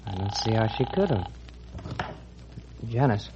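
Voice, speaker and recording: A man's voice: fairly low pitch, lively intonation, unhurried. A man playing a tired night hotel clerk in an old-time radio drama. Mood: puzzled. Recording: dull, noisy old radio recording.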